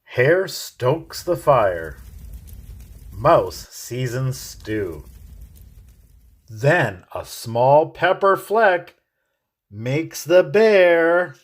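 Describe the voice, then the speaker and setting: A man teacher reading a children's picture book aloud in an expressive, drawn-out storytelling manner. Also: a little high.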